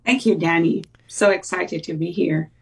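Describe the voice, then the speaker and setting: A middle-aged woman with a Ugandan accent, recalling her life conversationally as an interview guest, often pausing mid-sentence. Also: on the low side, vocal fry, slightly rough, far from the mic. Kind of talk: conversational personal recollection.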